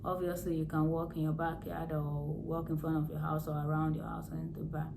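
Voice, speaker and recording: A hoarse woman, a nurse, giving plain health advice in a casual vlog and repeating key words as she explains. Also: deep voice, monotone, fairly quick, noisy background. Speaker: nurse vlogger.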